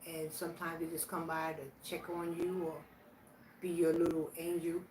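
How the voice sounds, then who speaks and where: Vlogger, woman in her 60s, slightly low voice, unhurried and plainspoken; distant mic, faint hiss. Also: little pitch movement. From Virginia, USA. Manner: plainspoken, slow and reflective, answering questions aloud. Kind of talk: casual question challenge. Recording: echoey room, voice from a distance, faint background noise.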